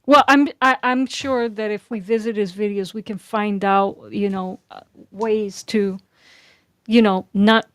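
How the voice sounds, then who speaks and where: Clear-voiced woman in casual conversation. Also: mid-range voice, fairly even intonation, unhurried, dry recording. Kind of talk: casual chat.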